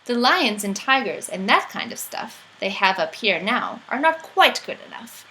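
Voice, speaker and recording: A woman's voice: medium pitch, big pitch swings, normal speed, pushed and bright, slight background noise, rough recording. A woman voicing an eager, boastful, imaginative young boy in a storybook, with emphatic, sing-song rhyming delivery. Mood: eager, scheming.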